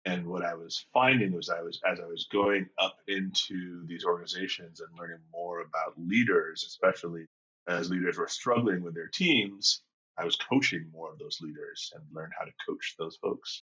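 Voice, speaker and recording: A man's voice: low-pitched, steady tone, normal speed, bright, forceful tone, husky, muffled recording. A man in his fifties, a leadership coach from England and Jamaica, telling his story in a thoughtful, open way with frequent restarts. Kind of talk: reflective conversational storytelling.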